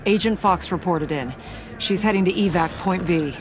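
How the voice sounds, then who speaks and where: An actress playing a dutiful female field agent in a video game, reporting briskly and formally. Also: fairly low pitch, over music, natural voice. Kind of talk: video game cutscene acting.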